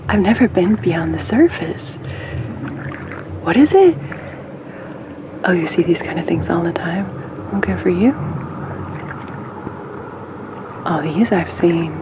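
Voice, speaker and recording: A woman playing a playful, sassy young companion to a captive merperson in an ASMR bedtime roleplay, often whispering in a breathy, affectionate way. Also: lowish voice, animated, background noise. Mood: hushed awe. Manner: snarky, affectionate, hushed, chatty and halting.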